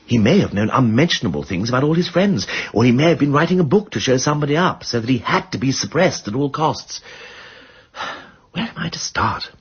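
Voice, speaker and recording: An actor playing a witty, voluble aristocratic amateur detective in a radio drama, with an upper-class English accent and flippant period slang. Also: male voice, mid-range voice, very animated, brisk, husky, dull audio. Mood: musing, breezy.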